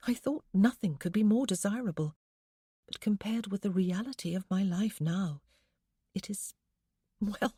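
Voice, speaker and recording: A woman voicing a gentle young wife in a storybook, speaking in a soft, breathy, heartfelt way. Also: medium pitch, no echo, clean studio sound, voice pushed up, close to an everyday voice.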